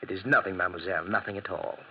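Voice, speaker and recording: A man's voice: deep voice, steady tone, racing, natural voice. An actor playing a polite, formal male bank official in 1940s radio style. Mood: courteous. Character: courteous male French banker, modest and formal. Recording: muffled, noisy 1940s radio recording.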